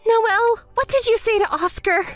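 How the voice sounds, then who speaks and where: A woman's voice: normal speed, dry recording, faint music behind. A woman voicing a spoiled, entitled young woman in a melodramatic story, whiny and demanding. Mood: panicked, agitated. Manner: whiny, demanding, shrill outbursts.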